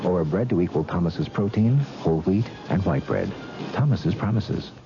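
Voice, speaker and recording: A man's voice: low-pitched, moderate pace, loud music behind the voice. A man announcing 1970s radio commercials in an emphatic, salesmanlike scripted style. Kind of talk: 1970s radio advertisement read. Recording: dull 1970s radio broadcast recording.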